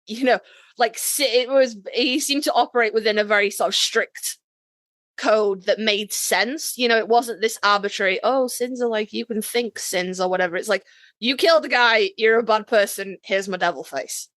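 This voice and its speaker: A woman's voice: a little high, normal speed, a bit bright, no echo. Dry-sounding close recording of a blunt woman. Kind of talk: casual conversational discussion.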